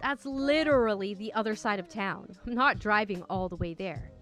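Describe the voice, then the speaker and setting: A woman's voice: medium pitch, normal speed, fairly bright tone, soft background music, lightly acted. A woman reading the part of a blunt, exasperated man driving his date in an online story.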